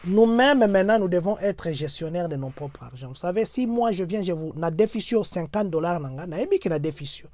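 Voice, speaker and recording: A man with a Congolese French accent speaks to his viewers in a mellow, slightly high voice, building his points through repeated rhetorical phrases and dropping into Lingala at times. The sound is dry and close to the mic. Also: expressive, a little fast, hardly any pauses, no room sound. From Democratic Republic of the Congo. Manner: repetitive rhetorical, mixes in Lingala. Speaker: host of a diaspora channel. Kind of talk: exhortative address to viewers.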